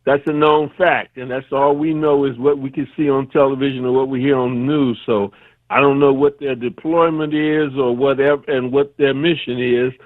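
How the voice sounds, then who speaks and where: Older man, guest, measured, cautious, tinny phone line, dry. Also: medium pitch, steady tone, no room sound. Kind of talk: remote guest commentary.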